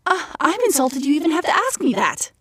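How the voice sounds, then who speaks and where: A woman playing an upbeat, geeky young hero in an audio drama, playful and quippy with a husky voice. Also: high-pitched, very animated, fairly quick, a bit bright, no room sound.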